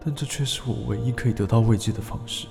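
Actor as troubled lonely son, introspective monologue, music playing behind. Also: male voice, mid-range voice, fast, lightly acted. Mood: dejected, confessional. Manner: introspective, earnest. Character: troubled, lonely young peeping son, confessional. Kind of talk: radio drama monologue.

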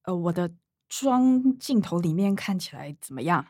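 Self-righteous middle-aged Taiwanese daughter. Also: female voice, fairly low pitch, lively intonation, normal speed, darkish tone, no room sound, studio quality. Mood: anxious. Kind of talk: radio drama acting.

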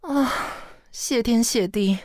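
An actress playing a sarcastic, long-suffering assistant in a radio drama, with a Taiwanese Mandarin accent and a teasing, weary manner. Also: female voice, a little high, takes it slowly, a little mellow, dry recording, studio quality. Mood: mock alarm. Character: sardonic, long-suffering female assistant to a fashion director. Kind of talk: radio drama acting with inner monologue.

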